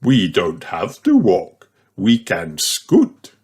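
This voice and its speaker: A man's voice: low-pitched, huge pitch swings, slow pace, darkish tone, husky, full character voice. A man voicing a jolly, playful daddy pig in a children's storybook, hearty and drawing out his phrases.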